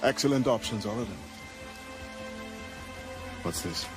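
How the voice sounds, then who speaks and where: An actor playing a composed former slave turned pirate captain, speaking formally in a game cutscene. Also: male voice, on the low side, animated, faint music behind. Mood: calm approval. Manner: measured, formal, courteous. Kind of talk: video game cutscene acting.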